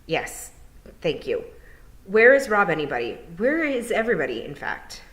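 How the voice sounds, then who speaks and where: A woman's voice: lowish voice, sing-song, normal speed, a bit bright. A woman voicing a practical, determined young girl with many questions in a storybook reading. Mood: determined, impatient.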